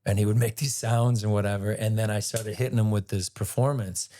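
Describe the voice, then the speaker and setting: A man with a raspy voice, a guest actor telling a rambling, animated story in a casual interview. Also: fairly low pitch, fairly quick. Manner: animated, rambling retelling with many "like"s.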